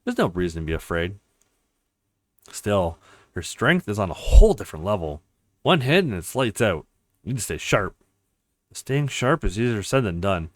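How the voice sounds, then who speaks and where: A man's voice: on the low side, very animated, moderate pace, pauses often, no echo, voice pushed down. A man voicing a determined, brooding young male fighter with a sarcastic streak, in a visual novel read-aloud. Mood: tense, brooding.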